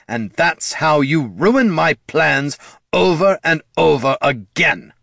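A haughty undead wizard, performed by a male narrator, explains himself in pedantic, self-justifying phrases. His delivery swings widely in pitch, as an aggrieved fantasy storybook villain, in a dry recording. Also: very animated, a little slow, fairly bright tone, no room sound. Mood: offended, scolding.

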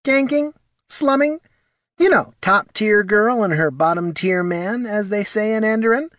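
A man's voice: high-pitched, expressive, unhurried, fairly bright tone, no echo, studio quality, pitched above the performer's own voice. A man voicing a small, foppish kobold in an audiobook, with a thin voice and an airy, patronizing, affected manner. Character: small, snobbish, foppish male kobold courtier. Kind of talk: audiobook character voice.

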